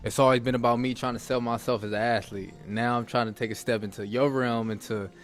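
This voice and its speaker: A man with a Louisiana accent hosts a relaxed sit-down chat, talking quickly and loosely. He keeps the questions coming in a friendly, easygoing way. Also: medium pitch, faint music behind. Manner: upbeat, fast-talking, loose and conversational. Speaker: host and arena football player. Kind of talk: casual interview.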